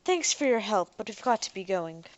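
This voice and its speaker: A woman's voice: medium pitch, sing-song, normal speed, lo-fi sound, natural voice. A woman voicing a proud young man who travels between worlds, speaking formally and with restraint. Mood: stiff.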